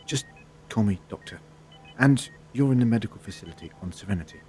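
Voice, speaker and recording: A mellow, lively-pitched male adventurer, played by an actor in an audio drama. He is wry and measured, calmly authoritative, gently probing as he speaks. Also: natural voice. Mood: wry, reassuring.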